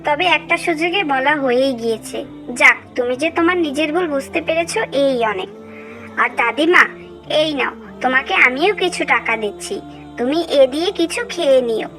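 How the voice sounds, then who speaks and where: A high, bright female cartoon voice, acted by a woman as a gentle and devout moralizer. The delivery is scripted, earnest and preachy, with music underneath. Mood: kind, grateful.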